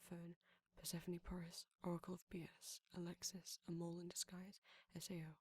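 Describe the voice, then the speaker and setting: Breathy, whispering woman, low and even. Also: fairly quick. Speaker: audio roleplay creator reading credits. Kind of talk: soft ASMR outro, whispered reading of names.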